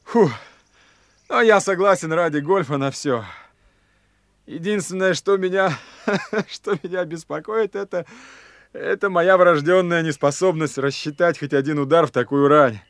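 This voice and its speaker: Eager, high-pitched young golf fanatic. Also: male voice, a little slow, dry recording, clean studio sound. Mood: self-coaching, eager. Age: young adult. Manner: hesitant, muttering to himself. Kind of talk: Russian radio play acting.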